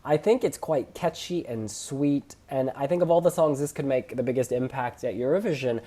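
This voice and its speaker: A man hosting a casual review chat, sharing opinions in an expressive way with emphatic phrasing. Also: medium pitch, moderate pace. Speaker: host reviewing song contest entries.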